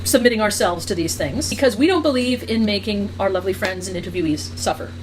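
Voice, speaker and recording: A woman co-hosting a casual interview, chatty and quick as she sets up a game. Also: mid-range voice, expressive, slight background noise, poor audio. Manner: rapid, chatty, explaining a game. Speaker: podcast co-host.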